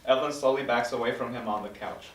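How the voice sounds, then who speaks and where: A man's voice: mid-range voice, fast talker, a bit bright, slight background noise. A man reading stage directions as the narrator in a scripted table read. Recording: roomy recording, mic at a distance.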